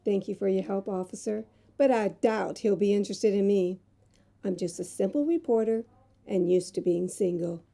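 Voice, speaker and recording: An actress playing a determined, independent magazine reporter in an audio drama, earnest, polite and resolute. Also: female voice, medium pitch, normal speed, creaky, mellow.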